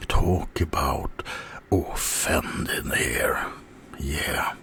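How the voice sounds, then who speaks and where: A man voicing an offended, self-pitying werewolf in an ASMR roleplay, talking haltingly and often dropping to a whisper. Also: very deep voice, creaky, fairly bright tone, slightly rough, exaggerated voice. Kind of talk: ASMR roleplay monologue in a mock interview.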